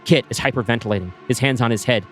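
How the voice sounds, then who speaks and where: A man reading his screenplay aloud, with brisk scene-setting narration. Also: mid-range voice, a bit bright, faint music behind. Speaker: writer pitching a screenplay.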